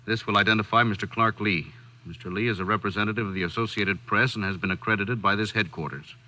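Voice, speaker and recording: An actor playing a stern, resolute commanding general in a 1940s radio drama, formal and measured in speech. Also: male voice, fairly low pitch, steady tone, pushed and bright, low-quality recording. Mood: official.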